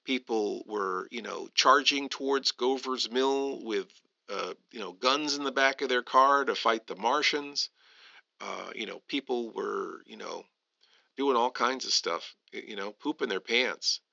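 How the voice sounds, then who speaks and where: A man hosts a podcast with loose, rambling commentary. His mid-pitched voice is gravelly and very sharp, on slightly dull lo-fi audio. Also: measured pace. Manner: rambling, heavy use of "you know". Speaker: podcast host. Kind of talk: casual commentary.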